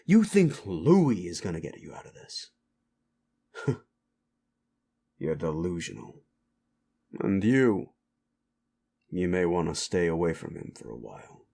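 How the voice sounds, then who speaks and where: Stern, slow-spoken underworld club keeper, played by a man. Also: sits low, very animated, vocal fry, mellow, husky, full character voice. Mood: cool, measured. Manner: measured, scolding, authoritative. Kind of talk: dramatic audio roleplay acting.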